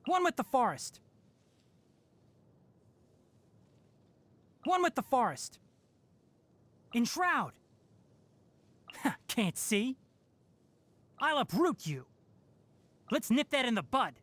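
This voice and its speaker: A man's voice: very high-pitched, sing-song, slow, pauses often, a bit bright, no room sound, full character voice. An actor playing a young male forest ranger with fox ears and a tail, composed, wry and knowledgeable, in video game voice lines. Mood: battle-ready. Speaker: game character.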